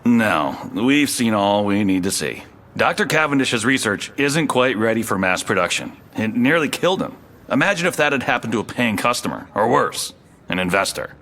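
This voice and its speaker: A cold corporate representative in an audio drama. His voice is fairly low but hard and forceful. He speaks formally, with clipped, smug condescension. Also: sing-song, moderate pace. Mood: dismissive.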